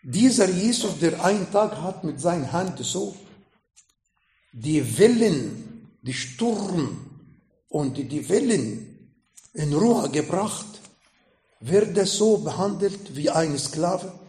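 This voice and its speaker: A man with an Arabic accent preaching a sermon in slow, emphatic phrases that rise sharply in pitch. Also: expressive, darkish tone, reverberant. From Middle East. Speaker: pastor.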